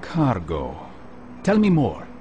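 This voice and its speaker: An actor playing a calculating, determined assassin in a video game, with a dry, controlled manner. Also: male voice, mid-range voice, wildly swooping pitch, takes it slowly, mellow, dull audio, slight background noise. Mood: determined, businesslike. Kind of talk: video game cutscene acting.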